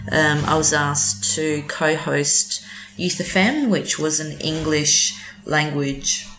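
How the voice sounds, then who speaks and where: Slow low-voiced Australian woman. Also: reverberant, poor audio. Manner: hesitant, many ums and restarts. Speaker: community radio presenter. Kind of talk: casual interview chat.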